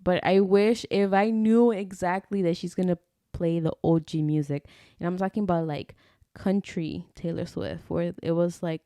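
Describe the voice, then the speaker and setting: A woman's voice: on the low side, dark tone, clean tone, no echo. A young woman co-hosting a podcast, chatting casually and mixing Spanish into her English, with lots of "like" fillers. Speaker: podcast co-host. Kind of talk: casual chat.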